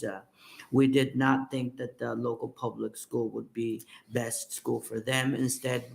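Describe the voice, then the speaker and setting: A middle-aged man from Guatemala whose first language is K'iche', reading testimony at a hearing with halting delivery and many fillers. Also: medium pitch, vocal fry, low-quality recording.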